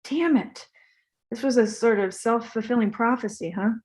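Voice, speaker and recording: A woman's voice: fairly high pitch, moderate pace, darkish tone, lightly acted. A woman reading the part of a hapless, apologetic young male star, hesitant and stammering, at a comedy table read. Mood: sheepish, deflated.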